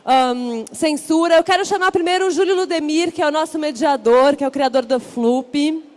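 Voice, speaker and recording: A woman with a São Paulo accent hosts a panel on stage, her voice sitting high. She talks in an upbeat, chatty way and keeps the audience involved. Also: normal speed. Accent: São Paulo Portuguese. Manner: upbeat, chatty, rallies the crowd. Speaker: event host. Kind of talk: live stage hosting. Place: stage at a large event.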